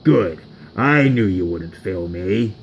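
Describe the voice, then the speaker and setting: A man's voice: sits low, expressive, takes it slowly, voice pushed down, exaggerated voice. A man voicing a pompous, imperious, vain villain boss in a homemade story. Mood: smug, commanding. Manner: pompous, commanding.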